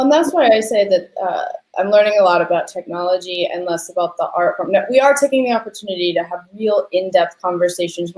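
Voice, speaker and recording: A woman's voice: on the low side, darkish tone. A woman co-hosting a conversational discussion, explaining earnestly and posing rhetorical questions as she goes. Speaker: co-host, lighting designer. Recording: roomy, echoing sound.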